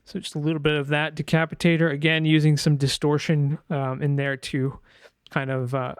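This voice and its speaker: A man's voice: fairly high pitch, completely flat delivery, moderate pace, clean tone, no room sound, clean studio sound. A man giving an informal sound design tutorial, thinking aloud in a hesitant way as he demonstrates. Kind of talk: informal screen-share tutorial narration.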